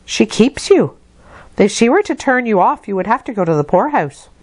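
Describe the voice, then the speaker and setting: A woman voicing a brisk, firm nursemaid in a storybook, admonishing a child. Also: mid-range voice, sing-song, darkish tone, slightly rough, no echo, pitched above the performer's own voice.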